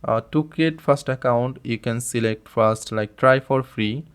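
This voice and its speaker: Bangladeshi man walking through a software setup. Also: medium pitch, unhurried, clean tone. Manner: plain step-by-step explaining with frequent fillers and restarts, non-native phrasing. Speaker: tutorial host. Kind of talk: screen-recorded software tutorial.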